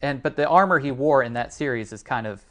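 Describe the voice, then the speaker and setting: A man's voice: mid-range voice, fairly quick, fairly bright tone. A man co-hosting a film podcast, talking casually in a panel discussion.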